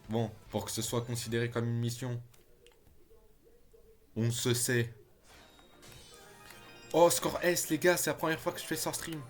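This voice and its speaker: A man's voice: medium pitch, unhurried, long pauses, faint music behind. A man streaming a video game, chatting casually with his viewers and swearing when the game frustrates him. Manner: excitable, chatty, addresses chat as "les gars". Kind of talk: casual gameplay commentary.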